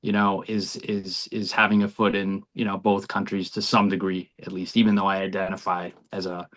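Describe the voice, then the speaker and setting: Middle-aged man, low-pitched, earnest and reflective interview guest. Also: little pitch movement, moderate pace, a bit bright. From United States, near Boston. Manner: earnest, reflective, many ums and you knows. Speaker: college baseball head coach.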